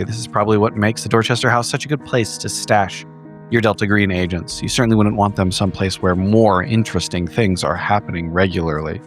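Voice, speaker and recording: A man giving a measured, wordy recap in formal storytelling phrasing, as a player in a tabletop horror game. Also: on the low side. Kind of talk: in-character recap narration for an actual play. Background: background music.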